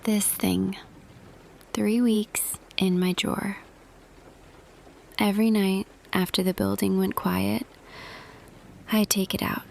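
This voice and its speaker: A woman playing a tender female boss confessing love to an employee in an intimate roleplay audio, hushed and hesitant, slipping between whisper and soft voice. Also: mid-range voice, flat delivery, slow, creaky, pauses often, slight background noise.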